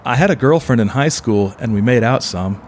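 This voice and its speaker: A man's voice: mid-range voice, expressive, brisk, slight background noise, lightly acted. A man playing an earnest, naive, slightly hesitant motel UFO believer in an audio play. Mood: smitten. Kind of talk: audio play acting and first-person narration.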